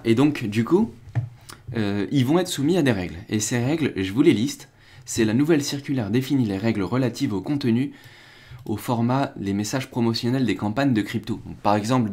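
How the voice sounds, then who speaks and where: A man's voice: medium pitch, darkish tone. A young man casually commenting on crypto news during a live stream, rambling with restarts and reading from the chat. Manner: rambling, restarts and fillers, chats with viewers.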